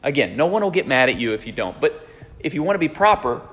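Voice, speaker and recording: A man's voice: mid-range voice, sing-song, fast talker, a bit bright. Man in his forties, pastor teaching in a church, chatty, hall reverb. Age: 40s. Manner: conversational, checks in with listeners. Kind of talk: teaching sermon. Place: church sanctuary.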